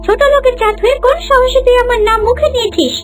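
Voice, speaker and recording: A woman's voice: very high-pitched, quick pace, faint music behind. A woman voicing a haughty, cruel young princess in a cartoon, speaking in an imperious, scornful manner. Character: haughty, cruel young princess bride who scolds servants. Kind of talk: cartoon voice acting.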